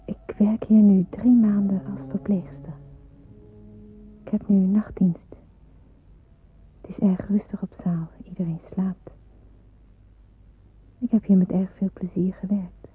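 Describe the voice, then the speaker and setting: A woman's voice: medium pitch, little pitch movement, takes it slowly, pauses often, lightly acted. A woman acting an eager, deferential teenage girl who wants to be a nurse, in an old radio play, sounding meek and hesitant. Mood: hushed, uneasy. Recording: muffled narrow-band old radio recording.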